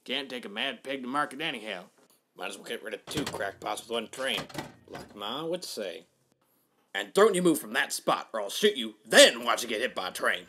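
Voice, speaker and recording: A man playing a surly, slow-witted rural farmer thug with a folksy, menacing way of talking. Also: medium pitch, lively intonation, pushed and bright, slight background noise. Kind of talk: animated comic dub acting.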